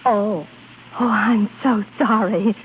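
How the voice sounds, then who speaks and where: A woman's voice: mid-range voice, steady tone, slow, phone-quality sound, close to an everyday voice. An actress playing a flustered, haughty young lady in 1930s radio drama style, hesitant and fluttery in manner. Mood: flustered, pretending. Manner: hesitant, fluttery, with nervous little laughs. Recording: thin, noisy 1930s radio recording.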